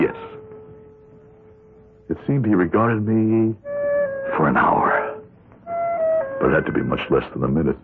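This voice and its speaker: A 1970s radio drama recording, dull and with loud music behind, carries a very low-voiced suburban husband. He seems respectable but is guilty and anxious underneath, halting when questioned and intense when he confides, with lively swings in pitch. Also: vocal fry, heavily acted. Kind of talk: 1970s radio drama acting with first-person narration.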